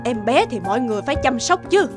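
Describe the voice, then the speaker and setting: High-voiced, exclamatory old grandmother. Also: sing-song, fast. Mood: scolding. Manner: scolding, exclamatory. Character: stern, doting old grandmother who favours her grandson. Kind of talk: cartoon voice acting. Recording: music behind the voice.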